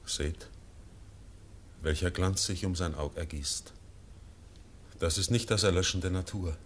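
An actor playing a solemn companion at a deathbed, speaking measured, consoling verse. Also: male voice, low voice, slight background noise.

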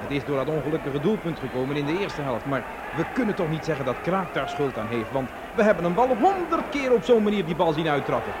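A man plays a dramatic football commentator on vintage radio. The voice is high and very animated, reporting play by play in an excitable style, over clearly audible noise. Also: quick pace, close to an everyday voice. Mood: tense, reporting. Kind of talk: old radio sports commentary. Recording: noisy old radio broadcast recording.

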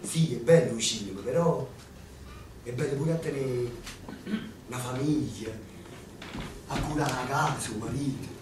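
An actor playing an earnest young Neapolitan man in a radio drama, gentle and self-mocking. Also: mid-range voice, normal speed, long pauses, close to an everyday voice. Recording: echoey, distant, noisy.

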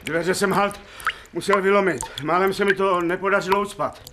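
A man plays a practical handyman in a radio drama, brisk and down-to-earth. His slightly high, colloquial voice comes with clear background noise. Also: steady tone, lightly acted. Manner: matter-of-fact, colloquial. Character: brisk, practical working-class male handyman. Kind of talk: theatrical radio drama acting.

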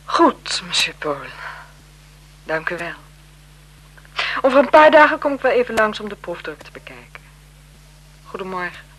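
An actress playing a self-possessed, flirtatious marquise in a 1970s Dutch radio play, speaking formally and teasingly. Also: female voice, medium pitch, very animated, normal speed, long pauses, a bit bright. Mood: composed, aloof. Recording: 1970s radio drama with noisy background.

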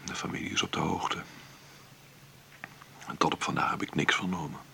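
An actor playing the anguished, tender grown son of a dying mother, speaking in a gravelly, hushed, faltering voice for a radio drama. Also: extremely low pitch, fairly even intonation, moderate pace, slight background noise, exaggerated voice. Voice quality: gravelly, whispery. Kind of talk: intimate radio drama acting.

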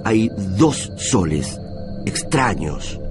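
A man narrates a radio drama with an Argentine accent. His deep voice moves with lively, dramatic intonation through literary phrasing, with music clearly underneath. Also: very slow.

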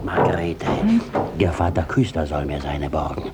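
A man playing a shifty, battered village judge in a German radio play, blustering and stammering evasively with a husky voice. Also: very deep voice, very animated, normal speed, loud music behind the voice. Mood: flustered, blustering. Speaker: actor in a radio drama.

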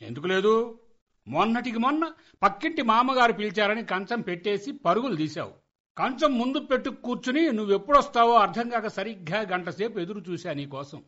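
A man's voice: sits high, very animated, a little fast, pushed and bright, heavily acted. A man acting as a grumbling, exasperated middle-aged husband who nags and argues in a radio comedy play. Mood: nagging. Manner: nagging, argumentative. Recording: dull, lo-fi radio play recording.

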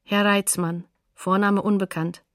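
An actress as a neutral documentary announcer, giving sources soberly and factually in a radio play. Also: female voice, mid-range voice, little pitch movement, measured pace, no echo, studio quality, natural voice. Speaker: narrator naming sources, announcer of decrees.

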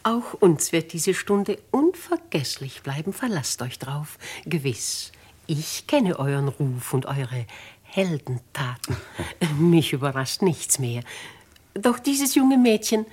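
A shrewd aristocratic lady in a 1950s radio play. Her husky voice sits fairly low and moves with great animation. She is theatrical and emphatic, with an archly gracious courtesy. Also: very animated, slow pace, a little mellow, exaggerated voice. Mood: slyly flattering. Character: shrewd, commanding noble old spinster, mockingly gracious.

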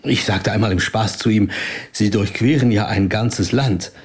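An actor playing an aggrieved, guarded community rabbi in a German audio drama, speaking in a measured, earnest, explaining way. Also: male voice, lowish voice, husky. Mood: reminiscing.

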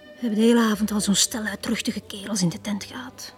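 Casting: woman playing weary dockside bar girl, medium pitch, chatty, plain-spoken, forward, faint music, radio play. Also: steady tone, fast talker, darkish tone, close to an everyday voice. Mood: coaxing, weary. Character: tired, forward harbour bar hostess seeking company. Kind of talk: 1980 radio drama acting.

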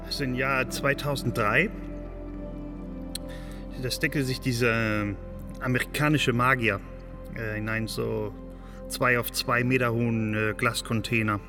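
A man's voice: medium pitch, steady tone, unhurried, pauses often, natural voice. An actor playing an earnest, fascinated man recounting events in a spontaneous documentary style, often searching for words. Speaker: storyteller in a radio play. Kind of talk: spontaneous-sounding documentary recollection. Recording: long reverberant hall sound. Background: music.

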